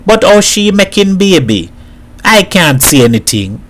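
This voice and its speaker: Casting: streetwise Trinidadian man, blunt and teasing, fairly high voice, colloquial storybook dialogue performed by a male reader. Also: very animated, a little slow, a little hiss or hum. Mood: skeptical.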